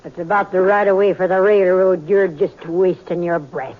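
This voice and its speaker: A woman's voice: lowish voice, steady tone, normal speed, natural voice. A proud, stubborn old prospector woman of the frontier, played by an actress, talks in folksy, defiant western speech. Her voice sits in a vintage radio recording, thin and narrow like a phone line. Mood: stubborn, assured. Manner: folksy, defiant, rustic grammar. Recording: tinny, band-limited vintage radio recording.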